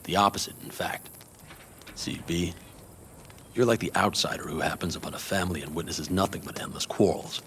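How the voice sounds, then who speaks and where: A man playing a gruff, wry nomad clan leader in a video game, speaking in a blunt, dry, weighty way. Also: low voice, fairly quick, fairly bright tone, slight background noise. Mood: warm, reflective.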